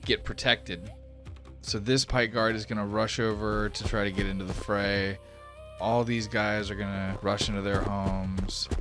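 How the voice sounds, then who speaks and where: Slow, deliberate man running a game. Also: medium pitch, fairly bright tone. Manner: casual, swearing. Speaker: game master. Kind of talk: narrating a tabletop roleplaying game.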